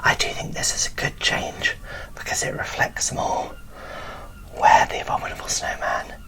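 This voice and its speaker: A woman whispering in a breathy, barely voiced ASMR style, presenting dolls with gentle, deliberate phrasing into a close microphone. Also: poor audio. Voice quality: breathy whisper with little voicing. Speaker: doll collector presenting an unboxing.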